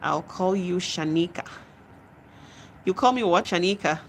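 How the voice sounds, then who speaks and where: A woman's voice: on the low side, unhurried, slight background noise. A young adult woman with a Nigerian accent, chatting casually on a live stream as she reads out and answers viewer comments, laughing often.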